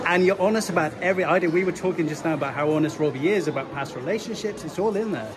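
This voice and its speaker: A man's voice: fairly high pitch, very fast. A man working as a radio interviewer, conversational and complimentary in his questions at a launch event. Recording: loud crowd and background noise.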